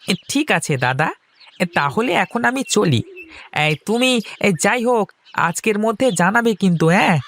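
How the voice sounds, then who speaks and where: Man voicing a high-pitched, scheming village chap. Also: very animated, moderate pace, long pauses, a bit bright, heavily acted. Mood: eager, persuading. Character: scheming, chatty younger village man, eager and teasing. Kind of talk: Bengali cartoon folktale dialogue.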